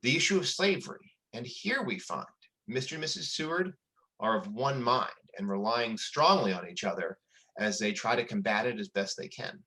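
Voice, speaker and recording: A man narrates as host of a historical reading. His voice is bright and projected, at an average male pitch. He comments in literary, somewhat theatrical phrases. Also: animated, normal speed, rough recording.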